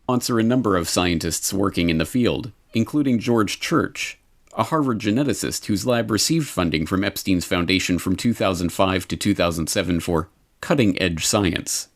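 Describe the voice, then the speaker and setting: Male documentary narrator, lowish pitch, measured and formal scripted read.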